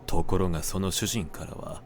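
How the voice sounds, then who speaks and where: A man narrating a literary audiobook in a measured, deliberate manner with dramatic pauses. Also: sits low, steady tone, a little hiss or hum. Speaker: narrator reading literature aloud.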